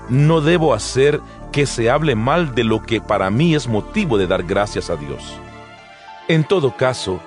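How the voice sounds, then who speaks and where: An actor playing an apostle earnestly exhorting believers in a dramatized, measured scripture reading. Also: male voice, medium pitch, darkish tone. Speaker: Bible audio narrator. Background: background music.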